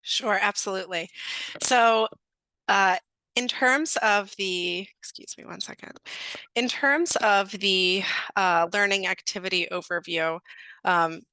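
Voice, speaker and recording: A woman stepping in to co-present at an academic talk, halting and filling pauses with ums. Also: mid-range voice, pushed and bright, smooth, dry recording, low-quality recording. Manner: halting, many fillers.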